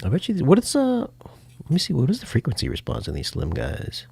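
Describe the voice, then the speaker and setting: Gravelly, husky man chatting casually. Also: on the high side, wildly swooping pitch, fairly quick, darkish tone. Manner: informal, thinking aloud as reads specs. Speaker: host and speaker reviewer. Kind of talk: casual chat about audio gear.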